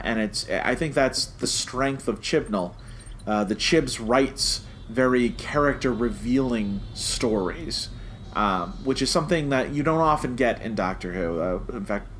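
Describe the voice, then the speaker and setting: A man's voice: lowish voice, fairly bright tone, a little hiss or hum. A man co-hosting a review podcast in casual chat, explaining his points emphatically and repeating phrases to stress them. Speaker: podcast co-host reviewing a TV series.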